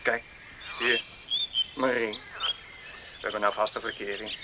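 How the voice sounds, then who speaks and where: A man's voice: fairly low pitch, level tone, measured pace, close to an everyday voice. An actor in a radio play playing a jovial, boastful young countryman, chatty and hearty. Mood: smitten, boastful. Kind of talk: radio drama acting. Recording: noisy old radio play, outdoor clicks.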